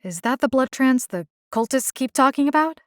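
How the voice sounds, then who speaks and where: A woman's voice: sits high, expressive, moderate pace, no room sound, clean studio sound. An actress voicing a wry, determined, compassionate young woman who hunts monsters in a video game, speaking conversationally with muttered asides. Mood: uneasy surprise. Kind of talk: video game character voice lines.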